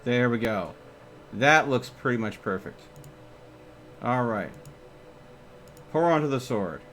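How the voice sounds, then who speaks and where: A man's voice: medium pitch, lively intonation, slow, a bit bright, a little hiss or hum. A man doing casual live gaming commentary as a streamer, talking himself through each step with exclamations. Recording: music behind the voice. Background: music.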